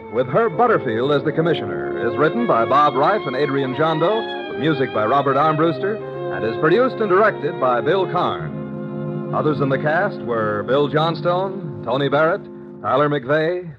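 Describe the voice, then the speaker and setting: A man's voice: medium pitch, sing-song, normal speed. A man with an American accent announcing on 1950s radio in an emphatic, polished broadcast style. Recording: muffled vintage radio, faint music.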